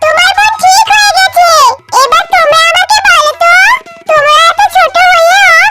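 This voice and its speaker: Woman voicing a very high-pitched magical cartoon fish. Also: steady tone, normal speed. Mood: kind, reassuring. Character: kind magical golden fish, grateful helper. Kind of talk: animated children's cartoon dialogue. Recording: music behind the voice.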